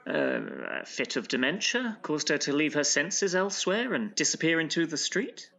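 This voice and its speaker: An actor playing a proper, dryly teasing but concerned gentleman friend in an audio drama, with old-fashioned polite diction. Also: fairly high pitch, sing-song, moderate pace, exaggerated voice. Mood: puzzled, concerned.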